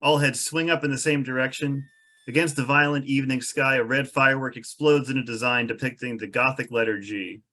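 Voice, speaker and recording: A man narrating screenplay stage directions at a table read in a measured storytelling manner. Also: mid-range voice, steady tone, sharp tone.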